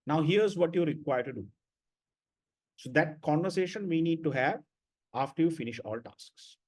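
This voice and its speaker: A man's voice: a little high, animated, moderate pace. A man lecturing students in an online class, blunt and repetitive, often checking with "right?".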